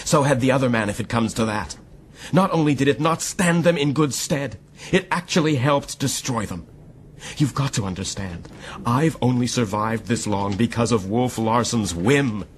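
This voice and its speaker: An actor playing a grim, educated gentleman held as a sailor, speaking formally and deliberately in a radio drama. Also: medium pitch, a little hiss or hum, natural voice. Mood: bitter, heated.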